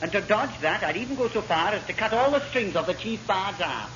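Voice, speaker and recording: An actor playing a scheming, ambitious Welsh naval rating in a radio comedy, conspiratorial and emphatic. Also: male voice, high voice, sing-song, a little fast, a bit bright, dull audio. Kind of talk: classic British radio sitcom acting. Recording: noisy, band-limited vintage radio recording.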